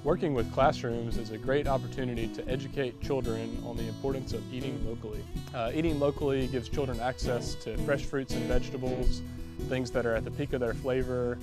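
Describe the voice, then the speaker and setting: A man's voice: medium pitch, fairly even intonation, fairly quick. A man in his thirties giving a scripted presentation as a farmer. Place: plastic greenhouse full of plants. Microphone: lapel. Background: plucked guitar music.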